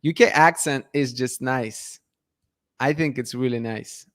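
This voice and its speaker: Chatty man, smooth voice. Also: medium pitch, measured pace, no echo, studio quality. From Russia. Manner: chatty, restarts words, laughs often. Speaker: channel host. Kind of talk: casual live-stream chat reading viewer comments.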